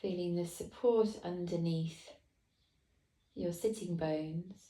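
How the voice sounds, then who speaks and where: A woman teacher leading a guided meditation, speaking softly and calmly with long pauses. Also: on the low side, roomy sound, rough recording. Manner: slow, soft, calm guidance with long pauses.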